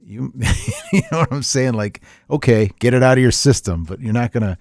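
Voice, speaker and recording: A middle-aged man chatting casually on a podcast, telling stories in a rambling, animated way with repeats and chuckles. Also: mid-range voice, moderate pace, vocal fry, dry recording, clean studio sound, faint music behind. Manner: animated storyteller, chuckles often, repeats himself. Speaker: podcast host, veteran tabletop gamer.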